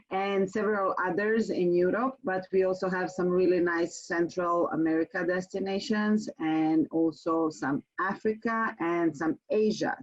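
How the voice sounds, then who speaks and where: A woman from Croatia with a Croatian accent gives a webinar sales presentation as a tour company presenter, speaking haltingly with frequent pauses. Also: lowish voice. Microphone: online webinar call. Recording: muffled, band-limited webinar call audio.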